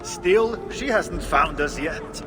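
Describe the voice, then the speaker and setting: A man's voice: a little high, animated, slow. A man playing a needling dwarf sidekick in a video game. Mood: querulous. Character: high-voiced, needling male dwarf sidekick. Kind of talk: video game cinematic acting. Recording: long reverb, music under voice. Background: cinematic game music.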